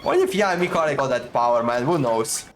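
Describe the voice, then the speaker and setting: A man's voice: medium pitch, lively intonation, quick pace, a little hiss or hum. A young man giving casual, informal game commentary in a chatty, easily baffled way. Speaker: gaming streamer. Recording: game music behind the voice.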